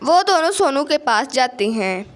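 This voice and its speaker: A woman narrates a children's story from a script. Her voice sits high, and she delivers the lines at a very slow, measured pace. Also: big pitch swings, slight background noise.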